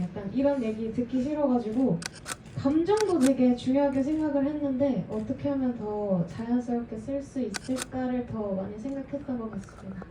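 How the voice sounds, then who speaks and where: Actress from Seoul, reflective, fairly high voice, far off, hall noise. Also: female voice, dark tone. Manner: reflective, careful. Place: cinema auditorium. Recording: distant, roomy hall with noise.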